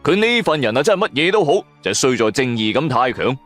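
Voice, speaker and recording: Animated Cantonese male storyteller over music. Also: medium pitch, quick pace. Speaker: audio drama narrator. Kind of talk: dramatic first-person supernatural story narration. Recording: music bed under the voice. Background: background music.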